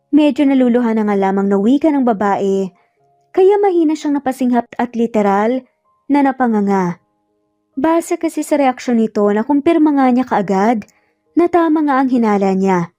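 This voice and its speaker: A woman's voice: fairly high pitch, expressive, moderate pace, mellow, no echo, dull audio, faint music behind. A woman storyteller reading scripted narration of a romance story.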